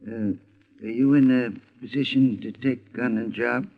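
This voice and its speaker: A man's voice: medium pitch, fairly even intonation, vocal fry, lightly acted. Actor as a hesitant older watchman, tinny radio. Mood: uneasy, halting. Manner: halting, hesitant, stammering. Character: older night watchman, uneasy, humble, hesitant client. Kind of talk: 1950s radio detective drama acting. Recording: tinny, band-limited old radio recording.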